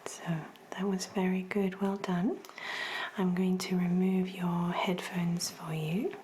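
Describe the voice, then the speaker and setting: A woman playing a calm, attentive clinician in an ASMR roleplay, speaking in a breathy, gentle, reassuring whisper. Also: lowish voice, slow pace, slight background noise. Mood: gentle, soothing. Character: calm, attentive clinician giving an ear exam. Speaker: ASMR performer. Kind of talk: soft-spoken ASMR roleplay.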